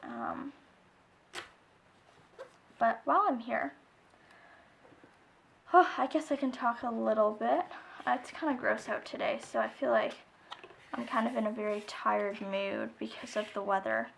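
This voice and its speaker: A slightly hoarse young woman chatting casually while she decorates her planner, rambling softly and often dropping to a near whisper. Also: a little high, measured pace, pauses often, a little hiss or hum. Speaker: planner vlogger.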